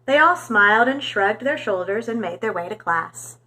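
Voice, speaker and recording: A woman reading a storybook aloud for young children in an expressive, animated storytelling manner. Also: medium pitch, normal speed.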